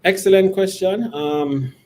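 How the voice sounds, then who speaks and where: Mellow-voiced man, measured and careful. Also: high voice. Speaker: company executive. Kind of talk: answering investor questions on an earnings call. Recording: steady background noise.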